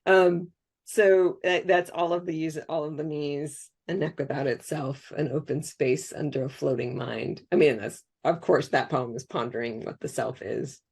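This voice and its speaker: A woman poet in conversation, animated and reflective about another poem. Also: sits low, normal speed, gravelly, breathy edge. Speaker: guest poet. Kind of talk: casual conversation.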